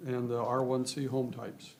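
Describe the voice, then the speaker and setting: A man's voice: medium pitch, level tone, darkish tone, a little hiss or hum. A man on a commission, methodically listing concerns and asking questions at a meeting. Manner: deliberate, lists points methodically.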